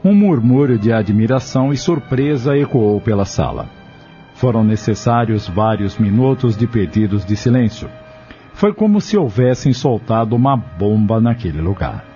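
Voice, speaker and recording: A man's voice: on the low side, big pitch swings, normal speed, warm tone. A man narrating a scripted audio drama in storytelling prose. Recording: dull lo-fi audio with music underneath.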